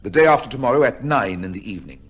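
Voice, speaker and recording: An actor playing a proud, severe middle-aged judge with a secret life, speaking formally in an old-time radio drama. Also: male voice, mid-range voice, animated, over loud music, close to an everyday voice. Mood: rattled, stern. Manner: formal, measured, pompous. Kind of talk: old-time radio drama acting, with first-person narration.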